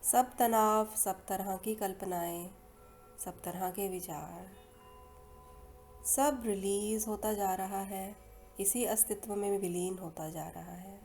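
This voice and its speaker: Indian female meditation guide, mellow and soothing, long pauses, soft music underneath. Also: mid-range voice, steady tone. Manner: soothing, unhurried, with long pauses between phrases. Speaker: guided meditation and hypnosis leader. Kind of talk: slow guided meditation narration.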